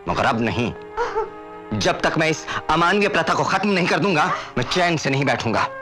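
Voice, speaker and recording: An actor playing a passionate, indignant social reformer in a period drama, declaiming like an orator. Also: male voice, on the high side, animated, normal speed, over music. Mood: firm defiance. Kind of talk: Hindi period drama acting.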